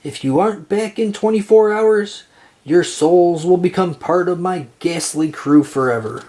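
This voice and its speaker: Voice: mid-range voice, measured pace, warm tone, a little hiss or hum, lightly acted. A storyteller voicing a ghostly male pirate captain who bellows menacing threats in pirate talk, for a children's storybook. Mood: commanding.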